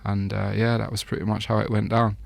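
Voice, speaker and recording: A man giving an interview, talking in a relaxed, rambling way with frequent laughs. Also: on the low side, brisk, creaky, no room sound. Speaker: interview guest, chef. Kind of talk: casual interview talk.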